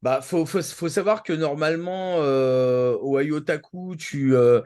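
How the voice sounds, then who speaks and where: A man chatting casually as a show panelist, halting and repeating himself as he searches for words. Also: on the high side, darkish tone. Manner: halting, searching delivery with repetitions. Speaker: show regular, co-founding panelist. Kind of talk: casual live show chat.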